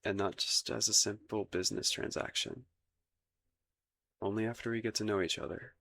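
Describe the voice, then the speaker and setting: Man playing a flustered low-voiced crown prince, gravelly. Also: fairly even intonation, moderate pace, pushed and bright, a little hiss or hum. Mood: earnest, nervous. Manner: hesitant, stammering, formal old-fashioned courtly phrasing. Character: shy, flustered, lovestruck young crown prince, courtly and awkward. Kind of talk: romantic roleplay ASMR audio acting.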